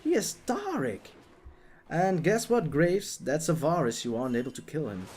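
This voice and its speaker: A young man with a Dutch accent giving excitable, jokey live commentary on a video game, talking to the players on screen. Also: on the high side, moderate pace, a little hiss or hum.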